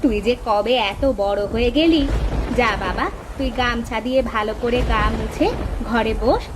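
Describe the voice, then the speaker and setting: A woman's voice: a little high, normal speed, background noise, natural voice. A woman playing a caring, anxious village mother and wife in a cartoon. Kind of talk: cartoon story dubbing.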